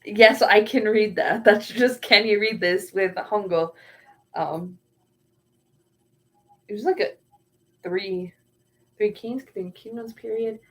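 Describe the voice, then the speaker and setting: A woman's voice: medium pitch, slow, pauses often, fairly bright tone. A young woman streaming and casually answering chat, often trailing off while searching for words.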